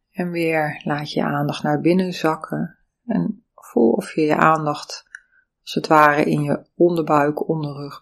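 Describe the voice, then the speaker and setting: A meditation teacher giving calm, soothing, step-by-step guidance through breathing and body awareness. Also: medium pitch, creaky. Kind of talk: guided meditation instruction.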